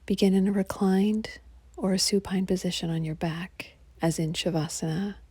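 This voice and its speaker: Female yoga nidra guide: soft half-whisper, mellow tone, close dry sound, calming delivery. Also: medium pitch, moderate pace, husky, dry recording. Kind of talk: guided relaxation meditation.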